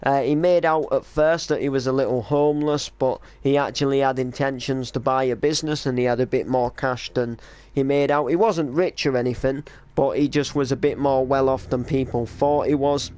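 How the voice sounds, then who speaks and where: A man's voice: medium pitch, fairly even intonation, normal speed, a little mellow, smooth. A man reminiscing casually on a stream as the creator of an online drama, explaining storylines in an informal, rambling way.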